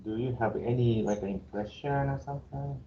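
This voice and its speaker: A male university professor with a Japanese accent, opening the first day of class in a casual, joking way, halting now and then with fillers. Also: mid-range voice. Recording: echoey, dull classroom recording with faint hiss.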